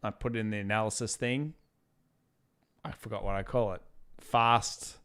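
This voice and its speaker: A man's voice: fairly low pitch, fairly even intonation, moderate pace, a bit bright, clear, resonant tone. A man with an Australian accent hosting a live market analysis stream, talking casually, reading out viewer questions, and wearing out his voice after hours of talking. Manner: casual, rambling, reads viewer questions aloud. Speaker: trading channel host.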